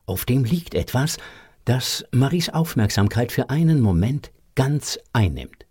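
A man's voice: medium pitch, big pitch swings, measured pace, mellow, breathy edge. A man narrating a scripted audio drama for children.

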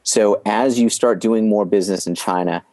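A man with a very low voice talks through his business experience as an interview guest. He is measured and explanatory and often restarts a phrase before he finishes his point. The recording is dry and close. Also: darkish tone, dry recording. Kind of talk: podcast interview storytelling.

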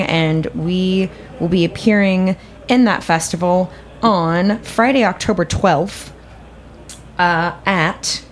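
A woman co-host and comedy performer chatting casually on a radio show. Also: lowish voice. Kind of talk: casual chat, plugging a show.

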